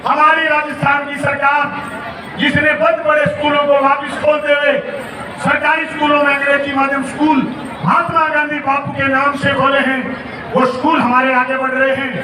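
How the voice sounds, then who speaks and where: Man, legislator addressing an outdoor crowd, very high-pitched and declamatory, booming over PA echo from afar. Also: little pitch movement, normal speed, far from the mic. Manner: rousing, declamatory, often shouting. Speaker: member of state legislature. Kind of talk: public speech at Independence Day ceremony. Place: outdoor public ceremony ground. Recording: echoey loudspeaker sound from afar, crowd noise.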